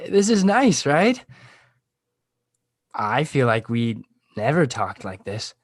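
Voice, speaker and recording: A man's voice: medium pitch, sing-song, drawn-out words, long pauses, dry recording, full character voice. Man as an awkward, fragile teenage boy, dry sound. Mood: hopeful, awkward. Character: awkward, fragile, lovesick sixteen-year-old boy. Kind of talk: comedy script table read.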